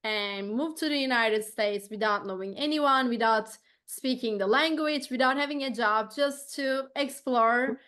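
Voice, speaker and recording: A woman with a Turkish accent hosts a casual podcast chat, her voice sitting a little high. She speaks earnestly and thoughtfully, often circling back and restarting her sentences. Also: unhurried, few pauses, fairly bright tone. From Turkey. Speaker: podcast host.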